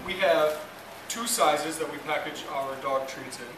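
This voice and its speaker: A man's voice: fairly high pitch, fairly even intonation, measured pace. A man showing packaging steps in a kitchen, plain and step by step. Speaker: dog treat team member. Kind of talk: casual packaging demonstration. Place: kitchen, indoors. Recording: echoey room, distant mic, loud music.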